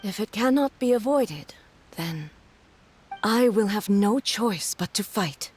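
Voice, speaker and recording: A woman playing a dignified, earnest female ruler in a video game, formal and measured. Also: mid-range voice, lively intonation, darkish tone, slightly rough. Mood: solemn.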